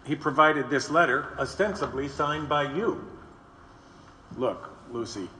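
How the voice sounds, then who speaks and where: An actor playing a cautious, placating organizer who urges giving up. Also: male voice, fairly high pitch, a little slow, sharp tone, slight background noise. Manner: placating, hesitant. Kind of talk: audio drama acting. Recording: reverberant hall, faint ambience.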